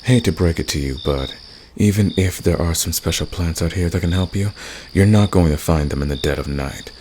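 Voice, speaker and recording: Guy doing a flirty fantasy villain for asmr roleplay, super deep growly croaky voice, soft and teasing, night crickets going in the background. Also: fairly quick, lightly acted. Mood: concerned, chiding. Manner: teasing, seductive, soft-spoken. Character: flirtatious, teasing male fantasy villain, secretly caring rebel. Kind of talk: intimate ASMR roleplay addressed to the listener. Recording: noisy, with nighttime crickets and insects. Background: cricket and insect night ambience.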